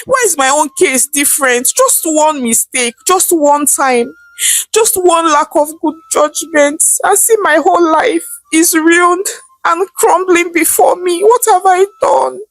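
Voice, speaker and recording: A woman's voice: extremely high pitch, measured pace, dry recording, pitched above the performer's own voice, rough recording. A woman voicing a desperate young woman who pleads through tears. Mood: wailing. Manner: tearful, pleading.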